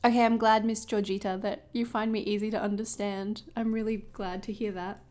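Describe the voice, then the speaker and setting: A woman from northern New South Wales with an Australian accent, chatting casually and quickly with livestream viewers while she crafts, often giggling. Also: medium pitch, flat delivery, darkish tone. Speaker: journaling livestream host.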